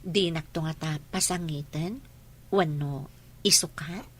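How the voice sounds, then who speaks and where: A woman's voice: deep voice, takes it slowly, fairly bright tone, slightly rough, exaggerated voice. A woman playing an affectionate young woman being courted, acted in the style of a radio comedy drama. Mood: earnest, hesitant.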